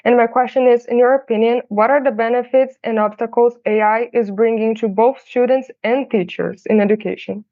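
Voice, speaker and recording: A woman's voice: a little high, steady tone, brisk, few pauses, dry recording. A woman in her early twenties from Brazil, an international relations student asking eagerly on a video call. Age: 20s. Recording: tinny phone-like video call audio.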